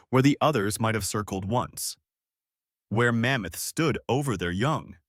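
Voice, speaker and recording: A man reads a scripted bedtime tale in a hushed, poetic way. His pitch moves widely from phrase to phrase, and each short line is set down deliberately. The recording is dry and close. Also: no room sound. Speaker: bedtime storyteller. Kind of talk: scripted second-person bedtime narration.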